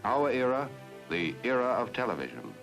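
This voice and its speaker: Grand 1950s educational film narrator. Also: male voice, medium pitch, very animated, normal speed, gravelly, rough recording. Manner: grand, dramatic delivery. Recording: old film soundtrack with loud music.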